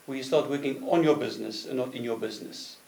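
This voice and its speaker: A man's voice: mid-range voice, gravelly, a little mellow, recorded from a distance. Earnest man, off-mic echo. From South Africa. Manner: earnest, slightly halting phrasing. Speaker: business consultant guest. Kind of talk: casual interview chat. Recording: echoey room, off mic.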